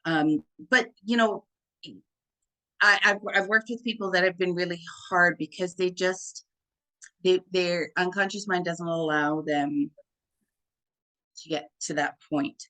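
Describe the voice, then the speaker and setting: Deep-voiced woman, chatty hypnotherapist. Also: measured pace, long pauses, sharp tone, low-quality recording. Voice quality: deep, full voice. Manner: chatty, fills with "like" and "right?". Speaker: hypnotherapist guest. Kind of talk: casual conversation.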